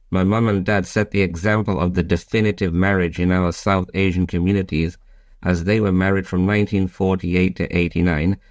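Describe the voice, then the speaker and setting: Casting: podcast guest around fifty, very low voice, polished and formal, dry recording. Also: male voice, dry recording. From Bangladesh, raised in the United Kingdom. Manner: polished, formal, reads like a prepared statement. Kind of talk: prepared self-introduction, interview answers.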